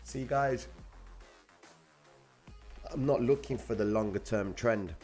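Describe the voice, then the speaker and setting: A man's voice: mid-range voice, slow, darkish tone, soft background music. A young man from Cyprus, casually hosting a trading livestream and answering viewer comments in slangy, laid-back chat.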